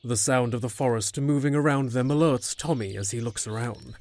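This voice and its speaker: A man's voice: mid-range voice, a little fast, darkish tone. A man narrating a scripted fantasy audio drama, with music underneath.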